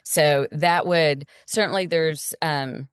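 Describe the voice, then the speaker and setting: A woman's voice: low voice, slow, smooth, dry recording, studio quality. A middle-aged woman gynecologist answering interview questions in a confident, explanatory way. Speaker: gynecologist guest.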